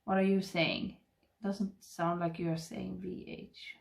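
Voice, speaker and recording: A woman in her thirties with a Swedish accent, chatting and murmuring softly to the camera while doing her makeup at home. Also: lowish voice, little pitch movement, slow, creaky. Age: 30s. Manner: soft, rambling, murmuring while applying makeup. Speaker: beauty vlogger. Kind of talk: casual get-ready-with-me chat. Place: home makeup room, indoors. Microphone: webcam framing at desk.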